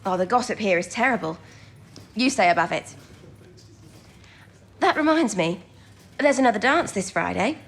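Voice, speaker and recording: A young woman playing a bright, affectionate twenty-year-old codebreaker in a period wartime radio drama. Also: mid-range voice, expressive, moderate pace, long pauses, close to an everyday voice. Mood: playful, pleading. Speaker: actor in an audio drama.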